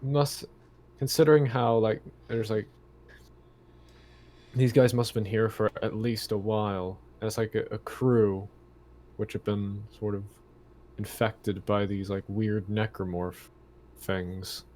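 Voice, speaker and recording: A man's voice: lowish voice, little pitch movement, a little slow, darkish tone. A man playing an online tabletop game, chatting casually and hesitantly, thinking aloud. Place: online voice call. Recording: noticeable background noise on voice call.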